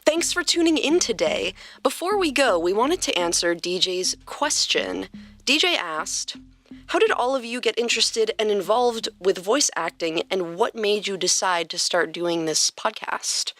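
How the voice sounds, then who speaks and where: A woman's voice: medium pitch, animated, a little slow, fairly bright tone. A woman podcast host addressing listeners and reading out a question.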